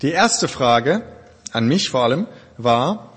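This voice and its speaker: Husky-voiced man preaching in a church. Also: mid-range voice, sing-song, takes it slowly, a bit bright, long reverb tail. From Germany. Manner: thoughtful, conversational preaching with frequent hesitations. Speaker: pastor. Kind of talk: sermon.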